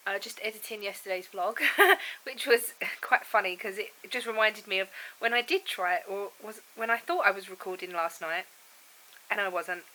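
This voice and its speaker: A woman's voice: mid-range voice, normal speed, sharp tone, low-quality recording. A woman with a cold and a froggy, bunged-up throat, chatting casually and rambling to camera from her home. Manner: rambling and chatty, laughs often. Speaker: vlogger. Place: at home indoors.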